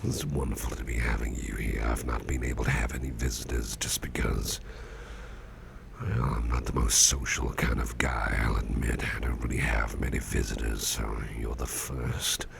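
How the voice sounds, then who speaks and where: An extremely low, heavily crackling voice of a sickly boss, acted by a man in an ASMR roleplay. He half-whispers slowly and tenderly, grateful and a little self-deprecating, with folksy warmth in his wording. There is clear noise behind him. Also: fairly even intonation, full character voice. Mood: weary, grateful. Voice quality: gravelly, breathy near-whisper. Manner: slow, hesitant, folksy and tender. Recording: noticeable background noise, soft ambience.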